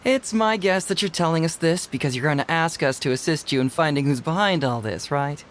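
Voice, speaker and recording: An actor playing a young male detective in a video game, quick-witted, easygoing and teasing. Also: a little high, slight background noise, lightly acted. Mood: easygoing, playful. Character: young male detective, sharp and playfully confident. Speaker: detective character.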